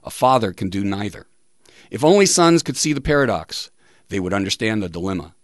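Low-voiced male radio host, dry studio sound. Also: expressive, brisk, dry recording. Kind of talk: reading listener tributes and quotes on a talk show.

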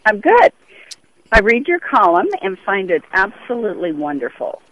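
A woman's voice: mid-range voice, sing-song, moderate pace, fairly bright tone. A woman in her sixties from Europe talking earnestly and conversationally as a caller to a radio show over the telephone. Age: 60s. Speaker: phone-in caller. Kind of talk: earnest conversational phone call. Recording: very tinny, band-limited phone line.

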